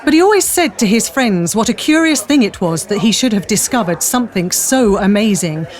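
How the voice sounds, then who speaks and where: A woman's voice: mid-range voice, animated, brisk, a little mellow, some chatter behind. A woman narrating a scripted radio drama as a storyteller. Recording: faint background ambience.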